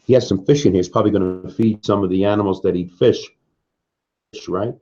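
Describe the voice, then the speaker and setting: A man with a low, husky voice reads a picture book to young children. He takes his time, swinging into sing-song refrains and pausing to put simple questions to his listeners. The recording is a little dull and lo-fi. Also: warm tone. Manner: slow, simple explanations for children. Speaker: children's story reader. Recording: dull, muffled lo-fi sound.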